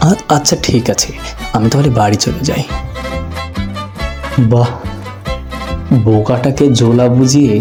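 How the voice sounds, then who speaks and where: A man playing a sly, flattering village man in a cartoon, with an ingratiating, rambling way of talking. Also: mid-range voice, natural voice. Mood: smug, scheming. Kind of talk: cartoon voice acting.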